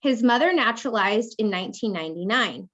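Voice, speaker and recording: A woman's voice: a little high, lively intonation, a little fast, a bit bright, muffled recording. A woman law professor giving a conversational classroom lecture, acting out example speakers as she explains. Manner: conversational, acts out hypothetical speakers.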